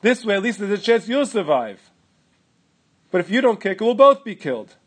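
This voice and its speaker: A man's voice: high-pitched, very animated, normal speed, husky, voice pushed up, heavily acted. A man voicing a desperate older father at the gallows who pleads with and orders his son, read aloud in a sermon. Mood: pleading. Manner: pleading, then shouting orders.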